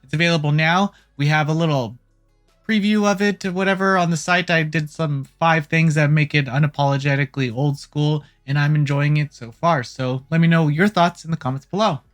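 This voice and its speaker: A host delivering casual gaming news commentary in an irreverent, jokey way, swearing casually, with a medium-pitched voice. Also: steady tone, brisk. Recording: music playing behind the voice. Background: background music.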